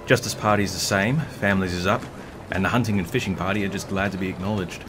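A man's voice: sits low, fairly even intonation, fast, noisy background, close to an everyday voice. A man playing a dry-voiced radio pundit. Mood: wry. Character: male radio pundit reading poll numbers.

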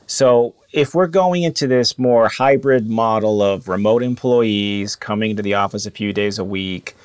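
A man in his thirties with a fairly low voice and a dry, close sound hosts a podcast interview. He asks probing questions in a relaxed, conversational way. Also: moderate pace, clean tone, no echo. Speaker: podcast host. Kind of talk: interview hosting.

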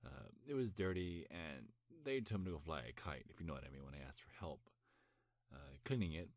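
A young man playing a nervous, lovestruck best friend in an intimate ASMR roleplay, speaking earnestly with a halting stammer. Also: very deep voice, lively intonation, no room sound, studio quality. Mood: nervous, flustered.

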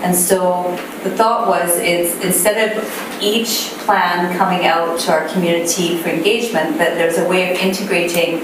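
A soft-spoken woman presents to a committee in a measured, explanatory way, pausing on fillers. Her voice is slightly low and picked up from a distance. The room rings with strong echo and a steady background hiss. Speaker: city planner presenting. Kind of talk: public meeting presentation. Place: council meeting room. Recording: echoey room, distant mic, background noise.

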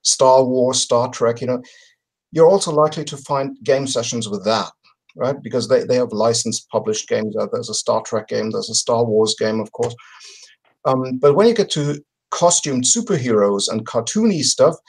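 A man in his forties chatting casually as a guest on a video call, explaining things haltingly and thinking aloud. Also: medium pitch. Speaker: podcast guest. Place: home room with bookshelves. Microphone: computer mic on a video call.

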